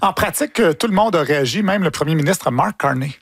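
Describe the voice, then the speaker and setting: A man's voice: on the high side, animated, fast, gravelly, a bit bright, breathy edge, no echo. A man with a Quebec French accent giving a wry, sarcastic humorous news review. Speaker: news columnist.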